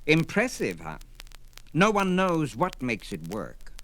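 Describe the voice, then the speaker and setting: An actor playing a boastful, scheming villain with a showy, theatrical manner in a 1970s audio drama. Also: male voice, on the high side, wildly swooping pitch, slow, fairly bright tone, exaggerated voice. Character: scheming, boastful male villain peddling deadly powder. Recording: vintage record drama with music behind.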